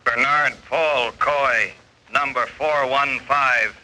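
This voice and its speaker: A man playing an impersonal prison roll caller, measured and official, in a 1940s radio drama. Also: mid-range voice, fairly even intonation, close to an everyday voice. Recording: noisy vintage radio broadcast.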